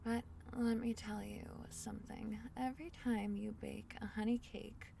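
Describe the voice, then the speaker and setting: Woman as a tiny female fairy, gentle, caring, soft storybook diction, creaky voice, ASMR roleplay, slightly distant mic. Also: medium pitch, level tone, normal speed, a little hiss or hum. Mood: wistful. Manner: soft, soothing, slightly formal storybook phrasing.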